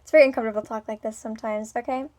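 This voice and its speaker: A young woman with a speech impediment rambling through a personal vlog, with many ums, pauses and restarts, moving between a smooth, businesslike tone and a playful one. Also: a little high, steady tone, a little mellow. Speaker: vlogger.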